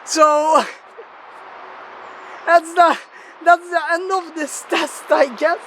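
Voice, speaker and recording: High-voiced woman vlogging outdoors. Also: fairly even intonation, extremely slow, a bit bright. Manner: informal, sweary, self-deprecating. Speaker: gear tester presenting a megaphone test video. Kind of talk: casual rambling vlog chat. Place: outdoors in an open area near a road. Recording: noisy outdoor location sound.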